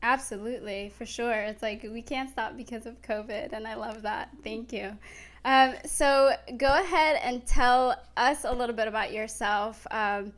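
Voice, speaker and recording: A woman with a fairly high voice hosts a casual chat. She is gushing and enthusiastic in the way she talks to her guest. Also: moderate pace. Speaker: talk show host.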